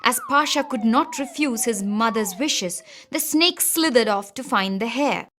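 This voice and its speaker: High-voiced woman narrating a children's story. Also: animated, measured pace, fairly bright tone, a little hiss or hum. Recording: music behind the voice.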